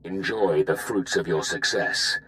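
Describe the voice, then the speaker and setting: An actor playing a haughty, menacing Imperial Sith in a video game, formal and grandiose. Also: male voice, deep voice, unhurried, fairly bright tone, rough recording. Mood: proud. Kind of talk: dramatic video game acting.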